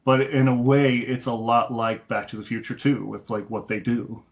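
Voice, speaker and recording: Slightly dull, lo-fi internet call audio carries a man with a mid-pitched voice. He co-hosts a film podcast, talking in a loose, rambling, conversational style. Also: level tone, moderate pace. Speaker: podcast co-host reviewing films. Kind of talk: casual film discussion.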